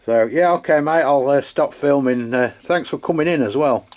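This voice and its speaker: An English man hosting a radio demo in casual unscripted chat, halting and rambling as he corrects himself. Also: mid-range voice, a little mellow. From England. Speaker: amateur radio channel host. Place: beside his radio set, filming the equipment.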